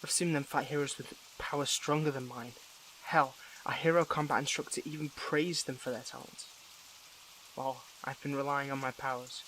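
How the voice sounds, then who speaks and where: A man's voice: medium pitch, fairly even intonation, a bit bright, lightly acted. Man acting a conflicted young superhero, crackly noisy audio. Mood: weary, brooding. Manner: halting, emotional, with stammered restarts. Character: wounded young male superhero, conflicted, bitter, defiant toward villain. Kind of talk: dramatic audio roleplay monologue.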